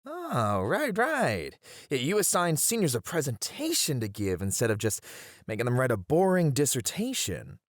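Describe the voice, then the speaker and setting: A man's voice: medium pitch, wildly swooping pitch, moderate pace, no room sound, clean studio sound. A man playing a playful, theatrical colleague at a college who loves teasing, with a dramatic, flowery manner in an ASMR roleplay. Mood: cheerful, curious.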